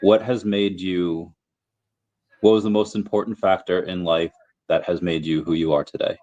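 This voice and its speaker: A man from the United States hosting a podcast, asking halting friendly questions. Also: low-pitched, fairly even intonation, a little mellow. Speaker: podcast host. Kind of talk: casual interview chat. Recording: dull lo-fi sound.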